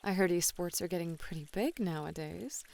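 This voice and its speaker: A woman playing a flirty, playful bunny woman in an ASMR roleplay, teasing and coy with soft sighs. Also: fairly low pitch, moderate pace, vocal fry. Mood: curious, playful. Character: flirty, playful bunny woman, a model relaxing in a hot spring. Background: pouring, trickling water.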